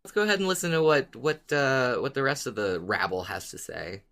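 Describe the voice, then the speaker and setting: A podcast co-host chatting casually about fiction in an excitable, emphatic way, often saying "like". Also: mid-range voice, a little fast. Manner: excitable, emphatic, peppers talk with "like". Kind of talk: casual fan discussion chat.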